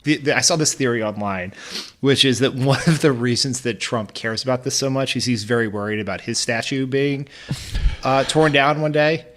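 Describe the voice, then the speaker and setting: American dude on a politics podcast, average pitch, blunt and kinda sardonic, chatty. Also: male voice, moderate pace, a bit bright. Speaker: podcast co-host. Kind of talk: casual political commentary.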